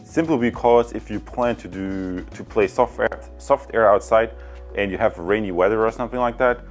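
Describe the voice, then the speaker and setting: A man's voice: on the low side, moderate pace, faint music behind. A man in his thirties giving a casual product review, explaining things in a hesitant, repetitive way.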